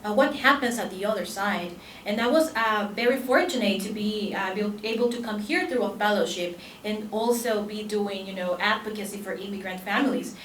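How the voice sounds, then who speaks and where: A Spanish-native woman from El Salvador speaks in earnest with a clear Salvadoran accent, her words broken by fillers and restarts. She is a conference panelist, heard from a distance in a roomy, echoing hall with a faint hum of room noise behind her. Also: medium pitch, normal speed, hardly any pauses, a bit bright.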